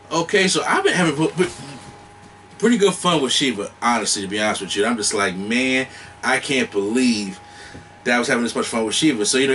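A man's voice: mid-range voice, a bit bright, a little hiss or hum. A man in his thirties giving casual, informal video game reaction commentary as a host, full of exclamations. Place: small room with shelves of collectibles. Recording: distant mic with music behind.

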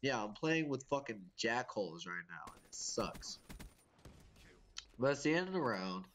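A man's voice: mid-range voice, very animated, moderate pace, a bit bright, a little hiss or hum. A young man with a congested, sniffly voice from a cold, giving casual, profane gameplay commentary and ranting about his teammates. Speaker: gaming YouTuber.

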